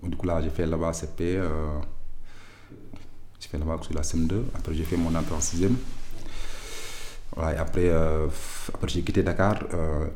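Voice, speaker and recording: A man's voice: very deep voice, little pitch movement, normal speed, pauses often, a little mellow, a little hiss or hum. A man from Senegal with a Senegalese French accent, recalling his past in an interview, hesitant and full of fillers and restarts. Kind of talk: personal recollection.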